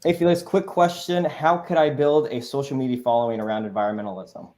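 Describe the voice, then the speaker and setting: Chill dude hosting a live panel, mellow warm tone, says you know a lot. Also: male voice, mid-range voice, steady tone, brisk. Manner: laid-back, upbeat, "you know" fillers. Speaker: host, moderator. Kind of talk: casual livestream moderating.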